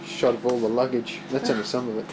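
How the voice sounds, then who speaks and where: A man's voice: medium pitch, flat delivery, quick pace, a crowd in the background. A man giving playful, casual commentary on small everyday things while he films a home video. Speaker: amateur home video cameraman. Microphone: camcorder held by the speaker. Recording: noisy old home camcorder audio.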